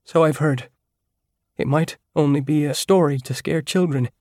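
A man's voice: fairly high pitch, a little mellow, dry recording, clean studio sound, voice pushed up, close to an everyday voice. A man voicing an uneasy young woman who speaks hesitantly, in an audiobook. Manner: hesitant, halting.

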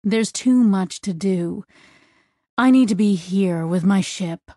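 Lady reading as a worn out spaceship captain guy, slow and doubtful, dry sound. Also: mid-range voice, dark tone, dry recording. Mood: weary. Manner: tired, self-doubting. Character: weary male starship captain, reluctant and tired.

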